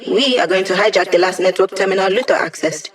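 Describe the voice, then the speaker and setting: A woman's voice: mid-range voice, fast talker, natural voice. An actress voicing a cocky, sardonic young female hacker who lives as a hologram in a video game, with slangy, teasing delivery. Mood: focused, urging. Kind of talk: video game character voice lines.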